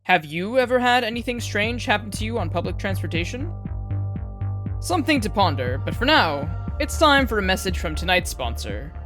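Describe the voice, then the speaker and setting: A woman's voice: mid-range voice, big pitch swings, normal speed, over music, close to an everyday voice. A woman playing the poised host of a late-night paranormal radio show, with a theatrical, intriguing, scripted delivery. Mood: intrigued, mysterious.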